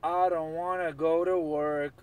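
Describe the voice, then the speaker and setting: A man's voice: fairly high pitch, little pitch movement, measured pace, smooth. A man chatting casually in CB radio slang, often repeating his phrases. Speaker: CB radio operator. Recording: distant mic, noisy radio hiss.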